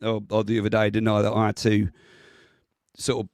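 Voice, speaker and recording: A man chatting on a video call, rambling and laughing as he talks, clearing his throat as his voice comes back. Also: fairly low pitch, monotone, a little fast, darkish tone, dry recording, clean studio sound. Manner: rambling, laughs often, restarts sentences. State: voice recovering, clears throat often. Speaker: guest with local knowledge. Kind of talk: casual chat on a video call.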